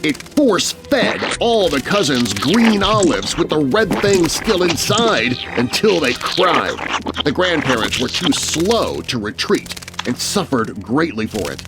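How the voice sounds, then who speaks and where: A man's voice: a little high, sing-song, takes it slowly, loud music behind the voice, poor audio. A man narrating a scary story for children in a dramatic, scripted storytelling style.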